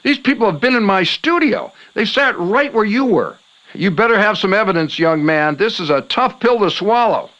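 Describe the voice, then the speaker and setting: Man playing an excitable, high-pitched radio host. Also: normal speed, lo-fi sound. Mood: astonished. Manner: theatrical, indignant, grandiose. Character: excitable, gullible male late-night paranormal radio talk show host. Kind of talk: comedic audio drama acting as radio talk show.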